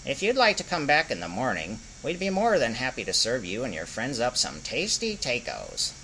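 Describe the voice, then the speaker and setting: A man's voice: mid-range voice, huge pitch swings, normal speed, sharp tone, slight background noise, full character voice, low-quality recording. A man voicing a weary, grumpy duck-headed orc who works at a taco stand and keeps saying "whack". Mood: nervous politeness. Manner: grumpy, says "whack" as a catchphrase.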